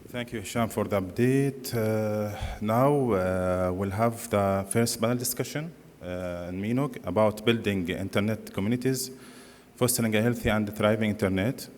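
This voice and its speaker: A man's voice: on the low side, normal speed, darkish tone. A man chairing a conference session, announcing the next panel with many hesitations. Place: large conference hall. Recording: long hall reverb with faint noise.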